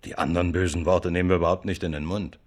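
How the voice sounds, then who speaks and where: An actor in a German radio play voicing an insistent, needling police interrogator who swings between threats and chummy, colloquial coaxing. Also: male voice, low-pitched, level tone, fast, lightly acted. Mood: coaxing, cynical. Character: pushy, cajoling male police interrogator, by turns threatening and chummy.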